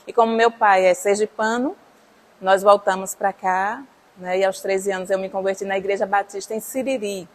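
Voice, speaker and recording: A woman's voice: medium pitch, fairly even intonation, normal speed. A woman from Salvador with a Bahian accent, telling her life story in a chatty way, often tagging her sentences. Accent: Bahian Portuguese. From Salvador, Bahia, Brazil. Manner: chatty, frequent "né" tags. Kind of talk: personal testimony.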